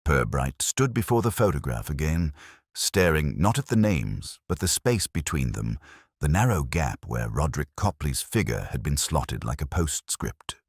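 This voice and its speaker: Male mystery narrator, very low and breathy, deliberate and hushed delivery. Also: sing-song, no room sound, clean studio sound.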